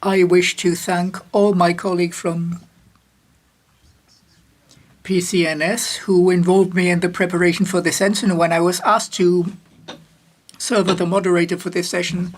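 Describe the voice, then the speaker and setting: A woman working as a simultaneous interpreter for a conference chair. Her voice sits low and barely moves in pitch. She keeps a measured pace, with occasional restarts and self-corrections as she follows the speaker. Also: little pitch movement.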